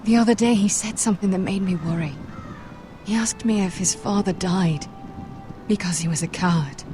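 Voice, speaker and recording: Woman playing a young highborn lady in a fantasy game, earnest and careful, lowish voice, music behind. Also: lightly acted. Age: young adult. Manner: measured, gentle, careful. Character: earnest, compassionate young noblewoman from a rival house. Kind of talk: dramatic video game acting.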